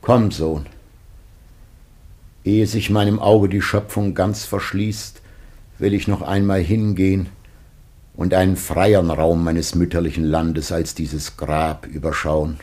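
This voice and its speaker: A man's voice: steady tone, takes it slowly, a little mellow. An actor playing a dying old patriarch and father in a classic German radio drama, declaiming solemnly and weightily. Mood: urgent, weary. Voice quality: very deep, husky.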